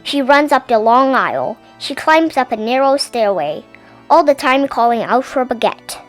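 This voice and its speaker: A woman narrating a children's story in an animated, theatrical read-aloud style. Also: sits high. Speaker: storybook reader.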